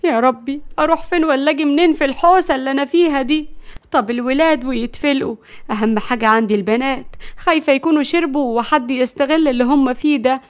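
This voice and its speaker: Audio story grandmother, played by a woman: Egyptian, fiery and sharp-tongued, high voice, rattles out scoldings. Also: fast talker, natural voice. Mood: exasperated. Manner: loud, sharp-tongued, nagging, exclaiming. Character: fiery, exasperated, scolding grandmother, jealous wife.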